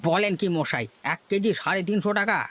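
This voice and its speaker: A man's voice: sits high, fairly quick, a bit bright, a little hiss or hum. A man voicing an indignant, distrustful village customer in a cartoon. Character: indignant village customer shocked by high prices. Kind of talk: Bengali cartoon voice acting.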